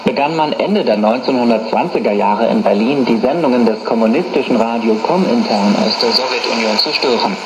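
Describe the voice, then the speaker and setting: A man's voice: mid-range voice, fairly quick, hardly any pauses. Male radio reporter, scripted narration, echoey room pickup of a noisy shortwave broadcast. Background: shortwave static.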